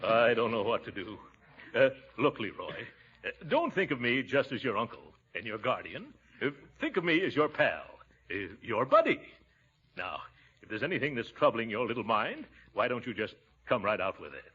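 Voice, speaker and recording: Pompous middle-aged guardian uncle, animated intonation, husky, 1940s radio comedy, muffled vintage recording. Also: male voice, medium pitch, normal speed, heavily acted. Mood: coaxing.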